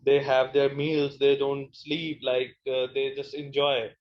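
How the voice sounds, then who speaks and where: A man from India, an event planner talking haltingly with many fillers on an online panel. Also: medium pitch, completely flat delivery, lo-fi sound.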